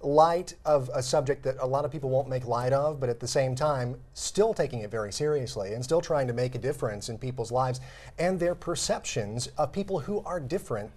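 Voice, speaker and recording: A man's voice: medium pitch, fairly quick, darkish tone. A man with an American accent co-hosting a news show, chatting casually and sometimes searching for his words. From southern United States. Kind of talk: casual co-anchor chat.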